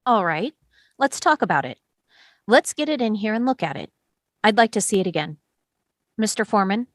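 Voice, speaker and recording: A woman playing a calm, questioning juror in a script table read, with a pointed, plain-spoken manner. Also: medium pitch, normal speed, dry recording, clean studio sound, lightly acted. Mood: firm.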